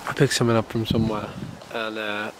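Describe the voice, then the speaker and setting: A man's voice: fairly low pitch, little pitch movement, fast talker. Vlogging cyclist, male, from the UK, a bit congested, rambling and casual, with faint noise behind. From United Kingdom. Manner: rambling, many fillers and restarts. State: ill, slightly congested. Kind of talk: casual vlog talk to camera.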